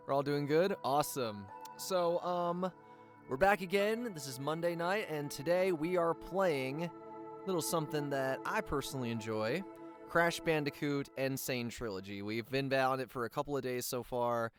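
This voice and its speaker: A man talking casually through a live game stream, rambling and pausing with frequent ums as he plays. His voice is smooth and clear, with soft music underneath. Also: medium pitch. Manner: rambling, lots of ums and restarts. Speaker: livestream gamer. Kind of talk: casual live gameplay chat.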